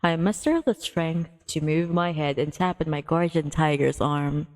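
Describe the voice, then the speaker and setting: A woman's voice: deep voice, normal speed. A woman livestreaming a visual novel, casually reading narration aloud with a playful manner. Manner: playful, excitable in asides.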